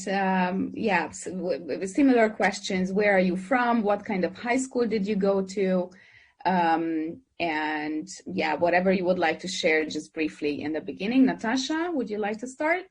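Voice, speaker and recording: A woman's voice: mid-range voice, lively intonation, unhurried, husky. A woman moderating an online webinar, who introduces speakers and asks questions hesitantly, with many fillers and restarts, over a video call. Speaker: moderator, dean.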